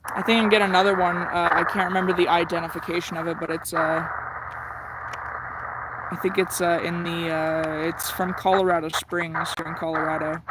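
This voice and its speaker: An American man giving a casual hobbyist demonstration, thinking aloud in a halting way, outdoors. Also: sits high, heavy background noise. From United States. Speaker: radio hobbyist presenting. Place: outdoors in the sun.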